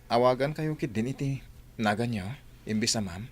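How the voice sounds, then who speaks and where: An actor playing a respectful, hesitant worker who defers politely to a boss in a radio drama. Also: male voice, mid-range voice, normal speed, dry recording, natural voice. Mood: taken aback, hesitant. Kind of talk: Philippine radio drama acting.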